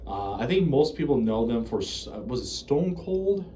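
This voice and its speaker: A man's voice: medium pitch, very animated, moderate pace, mellow, slight background noise. An American man giving casual, unscripted commentary in a rambling, hesitant, self-correcting way. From United States. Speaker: video creator reviewing horror series.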